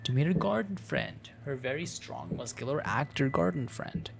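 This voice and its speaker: A man's voice: a little high, animated, normal speed, creaky, background noise. A man reading a visual novel aloud as host, with theatrical narration and joking asides.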